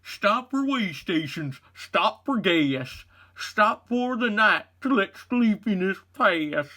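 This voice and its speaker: A man acts out a folksy country trucker with a drawl, hosting a children's storybook hour. The voice is rough and husky, swinging widely up and down in pitch. The delivery is theatrical and goofy, unhurried overall. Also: lively intonation, pushed and bright, heavily acted. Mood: hearty, dramatic. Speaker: storybook read-aloud host. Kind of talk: comic children's read-aloud.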